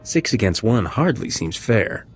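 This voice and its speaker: A man's voice: on the low side, big pitch swings, a little slow, husky, soft background music, full character voice. A man playing a wry, charming, unflappable smuggler captain in a video game. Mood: wry, casual. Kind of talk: video game cutscene dialogue.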